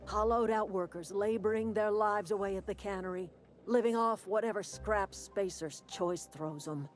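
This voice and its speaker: A woman's voice: medium pitch, moderate pace, natural voice. An actress playing a proud, motherly elderly woman who leads a camp in a video game, plain-spoken and folksy. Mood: bitter, defiant. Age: older. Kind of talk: video game character dialogue. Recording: music behind the voice.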